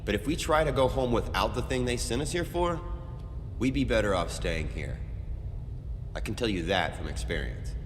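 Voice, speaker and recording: A man voice-acting a gruff, commanding squad leader in a dramatic comic dub, barking terse, urgent orders. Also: lowish voice, animated, normal speed, natural voice. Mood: stern, grim. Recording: noisy, long reverb and background ambience.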